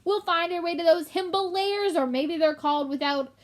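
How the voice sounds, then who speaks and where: A woman's voice: extremely high pitch, normal speed, voice pushed up. A woman voicing a feisty, suspicious young brownie girl, blunt and combative, in a storybook reading. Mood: angry.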